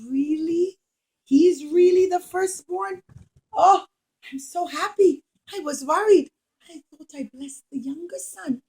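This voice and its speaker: An old patriarch puppet with a high voice, voiced by a woman. He is gentle, earnest and easily worried, speaking in simple emphatic phrases for a children's puppet show. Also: slow pace, rough recording. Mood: relieved.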